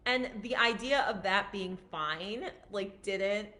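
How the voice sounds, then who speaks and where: A woman in her thirties, a physician and life coach, talking casually on a live stream in a loud, projecting voice with lots of chatty asides. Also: medium pitch, slow. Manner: chatty, many fillers and restarts.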